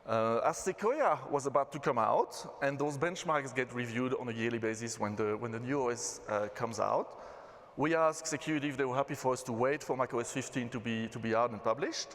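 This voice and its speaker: A man from Belgium with a Belgian accent, giving a relaxed conference talk as a systems engineer. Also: medium pitch, a little fast. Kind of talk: conference presentation. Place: conference hall. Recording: reverberant hall.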